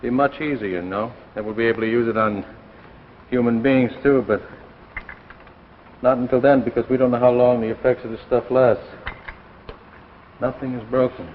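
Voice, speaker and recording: An American man playing an excited, driven research scientist and husband in a 1950s television drama, explaining haltingly and eagerly. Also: mid-range voice, lightly acted. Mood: shaken, careful. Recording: tinny, noisy 1950s broadcast recording.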